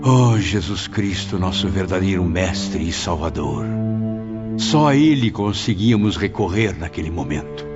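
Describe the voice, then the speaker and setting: A man's voice: sits low, animated, measured pace. A man playing an anguished spirit who recounts his memories in a dramatic, literary way, as audiobook drama narration. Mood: troubled, recollecting. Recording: dull audio with music behind.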